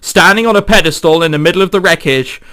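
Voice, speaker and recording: A man's voice: sits high, fast talker, pushed and bright. A man reading a visual novel aloud and breaking off into jokey, theatrical asides, with game music behind him. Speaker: let's play host.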